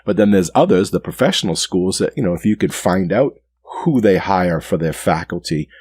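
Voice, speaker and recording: A man's voice: deep voice, animated, normal speed, a little mellow, breathy edge, no room sound. A man hosting an interview, talking in a chatty way and asking long, rambling questions. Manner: chatty, many "you know" fillers. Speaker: interview host, chef. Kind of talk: conversational interview.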